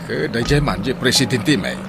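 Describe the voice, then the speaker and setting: Man acting, flirty Filipino driver, animated mid voice, talkative, radio drama, music behind. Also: mid-range voice, moderate pace, natural voice. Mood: chatty. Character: chatty, flirtatious male tricycle driver and customer.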